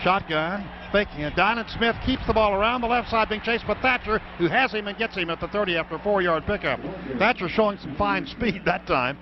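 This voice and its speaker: High-voiced man calling football over heavy noise. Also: a little fast. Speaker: play-by-play radio announcer. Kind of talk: live football radio commentary. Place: stadium broadcast booth. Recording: noisy, dull radio broadcast recording.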